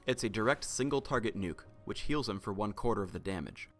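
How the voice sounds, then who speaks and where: A man's voice: lowish voice, lively intonation, fairly quick, fairly bright tone, soft background music. A man narrating a scripted game character guide in an instructional, matter-of-fact manner.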